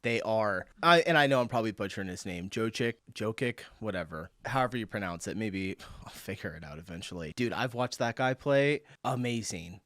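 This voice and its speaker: A man's voice: fairly low pitch, animated, a bit bright, dry recording. A man hosting a reaction video, talking casually, swearing now and then and laughing easily. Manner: laid-back, swears casually, quick laughs.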